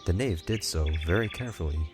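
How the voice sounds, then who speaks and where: A male narrator tells a scripted story in audiobook style. His voice sits very low, and music plays clearly beneath it. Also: expressive, fast.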